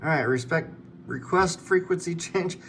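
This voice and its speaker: Higher-voiced man, rambling over clear noise. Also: moderate pace, a bit bright. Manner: rambling, thinking aloud. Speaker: flight simulator guide host. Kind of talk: casual hands-on commentary. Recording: clearly noisy room background.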